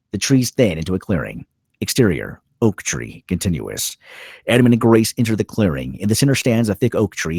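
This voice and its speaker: A man reading screenplay directions as a dramatic narrator, in clipped, suspenseful phrases with pauses for effect. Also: fairly low pitch, darkish tone, slightly rough, no echo, studio quality. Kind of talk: dramatic scripted narration at a table read.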